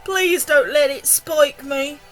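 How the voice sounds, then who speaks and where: A woman's voice: high-pitched, slow, soft background music. A woman voicing a timid, miserable earthworm who wails and pleads in a storybook reading. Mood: fearful pleading. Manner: wailing, pleading.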